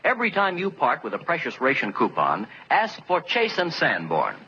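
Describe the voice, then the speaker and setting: A dull old radio recording with faint noise carries an American man with a bright, forceful voice. His emphatic sales delivery swings widely in pitch, in 1940s advertising style. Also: huge pitch swings, normal speed. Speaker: radio commercial announcer.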